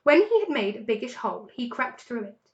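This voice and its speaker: A woman with a fairly high voice reads a children's story aloud. Her narration is scripted but expressive, with dramatic emphasis. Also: fairly quick, highs cut off. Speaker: story reader.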